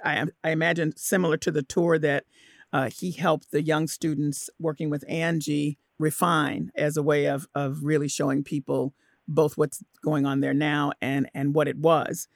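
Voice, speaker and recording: A woman hosting a radio interview, with a creaky edge to her voice. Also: deep voice, level tone, moderate pace, dry recording, clean studio sound. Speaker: radio host.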